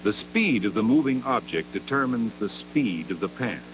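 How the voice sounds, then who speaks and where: A man narrates a scripted 1960s educational film in a measured, didactic manner, with deliberate phrasing, on an old 16mm soundtrack. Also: medium pitch, animated, music in the background, rough recording.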